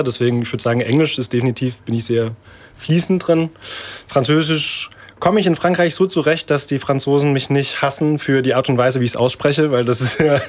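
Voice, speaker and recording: A male choir director talks through an interview in a relaxed, searching way. He pauses, restarts his sentences and laughs now and then. His voice sits at an ordinary middle pitch. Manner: thoughtful, hesitant, laughs often. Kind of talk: casual interview talk.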